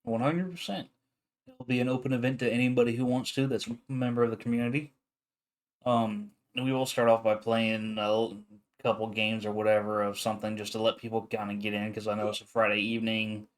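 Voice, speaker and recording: A man hosting a livestreamed podcast in casual chat, relaxed and stumbling over his first words. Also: lowish voice, brisk. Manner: relaxed, repeats words when starting sentences. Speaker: podcast host.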